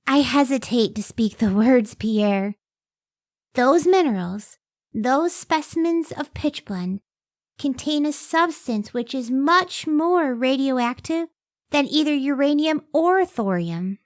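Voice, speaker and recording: A woman's voice: sits high, lively intonation, takes it slowly, no echo, studio quality. A woman playing an earnest, modest scientist and devoted wife in an old-time radio drama, careful and emotive. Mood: hesitant, awed.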